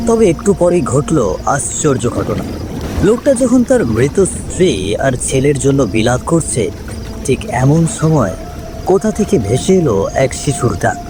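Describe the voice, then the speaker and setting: A male narrator delivers a scripted ghost story. His voice sits at a medium pitch with dramatic storytelling phrasing. Music plays clearly behind him. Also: big pitch swings, measured pace.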